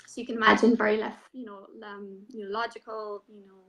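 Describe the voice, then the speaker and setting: Soft-spoken Irish woman, flat tone. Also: medium pitch, rough recording. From Ireland. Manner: soft-spoken, unhurried, frequent ums and you-knows. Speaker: guest, transformational coach. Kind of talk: reflective personal story in an online interview.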